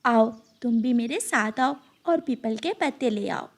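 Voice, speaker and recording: A woman's voice: a little high, lively intonation, unhurried. A woman voicing a diligent, scolding little female bird in a children's cartoon, earnest and admonishing. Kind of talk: Hindi children's cartoon voice acting.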